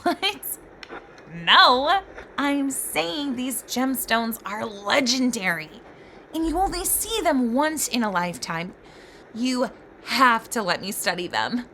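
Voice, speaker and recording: High-voiced, eager fairy scholar acted by a woman. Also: slow pace, full character voice. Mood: eager, pleading. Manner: earnest, lecturing, excitable. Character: eager bookish fairy scholar obsessed with magic gems. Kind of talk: scripted roleplay voice acting with storytelling. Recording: music behind the voice.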